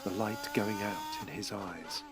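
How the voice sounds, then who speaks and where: A man's voice: on the low side, a little slow, background noise. A man giving scripted dramatic narration in an intense, rhythmic way. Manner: intense, rhythmic, second-person at times.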